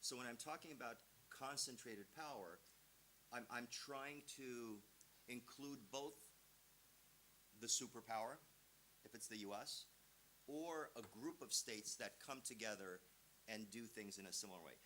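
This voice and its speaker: A man's voice: medium pitch, a little slow, bright, forceful tone, poor audio. A man from Romania, a visiting professor answering seminar questions in an explanatory, emphatic, conversational manner. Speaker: visiting professor, guest lecturer. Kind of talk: academic seminar answer with examples. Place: seminar room. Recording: clear background hiss.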